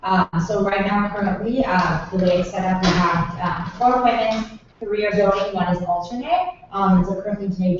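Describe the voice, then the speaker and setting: A young woman chairing a student council meeting, running votes and agendas in a brisk, procedural way. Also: lowish voice, recorded from a distance, a little hiss or hum. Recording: dull, echoey room from afar.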